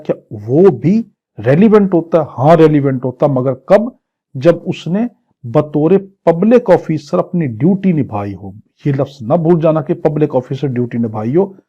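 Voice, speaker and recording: A man's voice: on the high side, lively intonation, normal speed, warm tone, husky, highs cut off. A man with a Pakistani accent giving an exam-prep law lecture, explaining didactically with questions he answers himself and mixing Urdu with English legal terms. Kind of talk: exam-prep lecture, reading statute phrases and explaining them.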